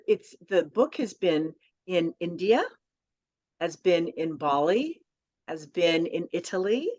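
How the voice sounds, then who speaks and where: A woman author as a podcast guest, telling stories in a lively way with many fillers. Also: lowish voice. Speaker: children's book author and guest. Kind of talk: conversational podcast interview. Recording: dull lo-fi audio.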